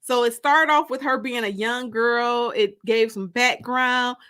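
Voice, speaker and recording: High, bright-voiced woman chatting on livestream. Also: fairly even intonation, a little slow, smooth. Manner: gossipy, informal, repeats phrases for emphasis. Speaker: host of a live-streamed story time. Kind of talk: casual livestream chat.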